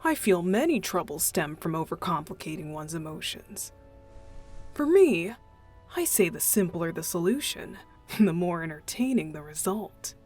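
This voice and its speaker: A woman voicing a playful, mischievous young male deacon in an unhurried, teasing ASMR roleplay style. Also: fairly low pitch, very animated, a little mellow, soft background music. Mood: playful, confiding. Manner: teasing, unhurried, chuckling, breathy asides.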